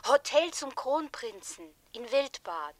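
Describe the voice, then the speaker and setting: An excitable middle-aged woman in love, in a naturalistic radio play. Her voice is on the high side and recorded very dry. She talks in a flustered, emotional way. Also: slow, no room sound, exaggerated voice. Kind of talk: naturalistic radio drama acting.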